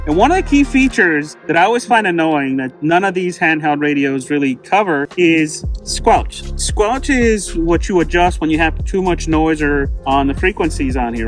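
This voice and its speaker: A middle-aged man hosting a casual product review, explaining things informally and plainly. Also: a little high. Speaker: host of an off-road gear channel.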